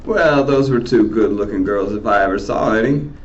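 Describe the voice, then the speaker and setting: A man's voice: lowish voice, normal speed, dull audio, pitched above the performer's own voice, close to an everyday voice. A man voicing a cocky, wisecracking teenage greaser boy from a novel, with a taunting, slangy delivery. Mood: laid-back.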